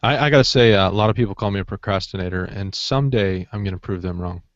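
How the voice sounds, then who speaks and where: A man on a podcast panel chatting casually, with a halting, deadpan delivery. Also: low voice, no room sound, lo-fi sound. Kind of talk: casual chat.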